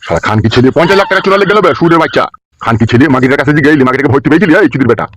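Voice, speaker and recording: A man's voice: on the high side, animated, racing, fairly bright tone, slight background noise. A man voicing a hot-tempered middle-aged village father in a comic cartoon, scolding and cursing in an abusive harangue. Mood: furious scolding. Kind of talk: comic Bengali cartoon dub.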